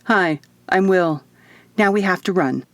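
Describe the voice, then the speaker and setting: A woman's voice: on the low side, measured pace, a bit bright, dry recording. An exasperated man on the run, acted by a woman narrator, pleading and terse. He sounds urgent, in a dry audiobook recording. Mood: brisk urgency.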